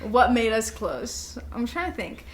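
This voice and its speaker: A young woman co-hosting a podcast, chatting casually in a halting, self-deprecating, informal way. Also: a little high, very animated. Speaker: podcast co-host. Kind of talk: casual conversational chat.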